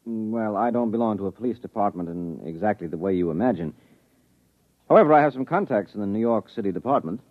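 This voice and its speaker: An actor playing a curt, secretive government agent husband in a 1950s radio drama. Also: male voice, on the low side, animated, fast talker. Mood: guarded. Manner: curt, guarded. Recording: dry, tinny old radio broadcast.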